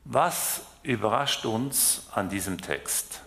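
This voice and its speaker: A man from France who grew up speaking French, with a Swiss German accent, preaching a sermon in a slow, hesitant way with fillers and restarts. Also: lowish voice, animated, creaky, bright, forceful tone. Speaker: lay preacher.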